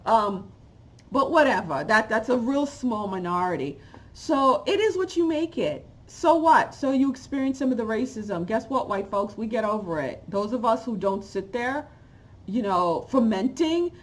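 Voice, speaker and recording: New lady in her 40s ranting at her webcam at home, kinda high voice, gets loud then drops to mutters. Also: moderate pace. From Haiti, raised in New York. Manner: animated, swings from loud exclamations to low asides. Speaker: channel host. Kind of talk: opinionated vlog rant. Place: home room with bookshelves, indoors. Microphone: webcam at close range.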